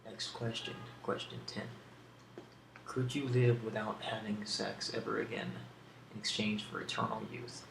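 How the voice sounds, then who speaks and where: A soft-spoken man answering questions in a casual ASMR style, with a hesitant, musing delivery and pauses between thoughts. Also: on the low side, little pitch movement, gravelly. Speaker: ASMR video creator answering a question tag. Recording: roomy echo with faint background hiss.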